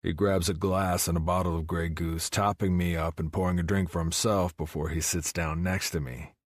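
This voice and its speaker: Husky, low-voiced man narrating a romance audiobook. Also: fairly even intonation, normal speed, no room sound, clean studio sound.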